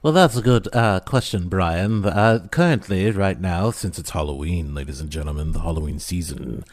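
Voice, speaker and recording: Low-pitched man, playful casual podcast guest. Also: moderate pace, no room sound, clean studio sound. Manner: playful, joking, theatrical asides. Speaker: podcast guest, audio drama voice actor. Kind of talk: casual chat.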